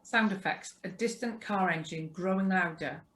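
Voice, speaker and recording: A woman's voice: fairly low pitch, moderate pace. A woman reading out scripted sound-effect directions during a live play reading on a video call.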